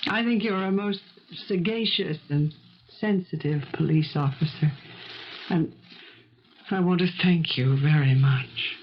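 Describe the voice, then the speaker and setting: An actress playing a composed, guarded maid under suspicion in a 1970s radio mystery, polite, measured and coolly deflecting. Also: female voice, low voice. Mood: smoothly grateful. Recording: muffled, hissy 1970s radio recording.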